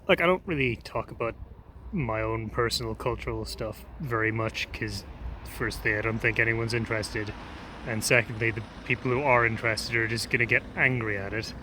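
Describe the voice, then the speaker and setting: A man's voice: fairly low pitch, steady tone, normal speed, pushed and bright. A man with an Irish accent rambling hesitantly through a casual travel vlog outdoors on a city street. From Ireland. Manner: rambling, hesitant, many ums. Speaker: vlogger. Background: urban street noise.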